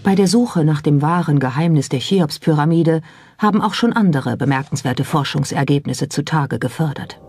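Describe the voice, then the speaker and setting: A narrator reading a scripted documentary in a measured storytelling style. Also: mid-range voice, darkish tone, faint music behind.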